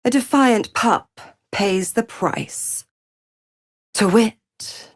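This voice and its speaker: An actress voicing a seductive, gloating devil patron in a video game, with a silky, condescending, theatrical manner of cruel teasing. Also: female voice, a little high, very slow, dry recording, studio quality. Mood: haughty. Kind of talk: video game character voice acting.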